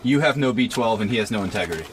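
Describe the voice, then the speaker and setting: A man's voice: on the low side, animated, very fast. A man interviewing casually in an informal, laid-back way, recorded in noisy surroundings. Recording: noisy room ambience.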